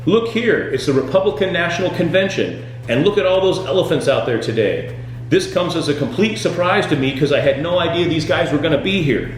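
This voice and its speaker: A man delivering scripted comedic tour-guide patter as a boat skipper, with deadpan, punning jokes. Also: fairly high pitch, a little fast, a little hiss or hum. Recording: very echoey room, music underneath.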